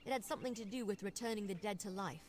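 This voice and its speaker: A woman acts out a young female vampire investigator from a fantasy game. She is brisk and wry, explaining things with confident, conversational ease. Her voice sits at a middle pitch. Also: close to an everyday voice. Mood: matter-of-fact. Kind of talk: video game character dialogue.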